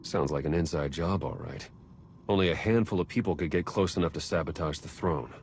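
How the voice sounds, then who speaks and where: An actor playing a wry, skeptical spy and adviser in a video game, informal and sardonic. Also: male voice, low-pitched, fairly quick, a little mellow, a little hiss or hum. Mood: wry, concerned. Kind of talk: cinematic video game voice acting.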